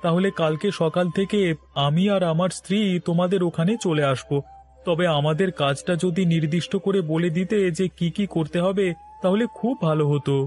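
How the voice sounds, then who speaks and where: A man's voice: sits high, fairly quick, darkish tone, faint music behind, lightly acted. A man voicing a humble married neighbour in a moral-story cartoon, with a deferential, pleading manner. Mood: grateful.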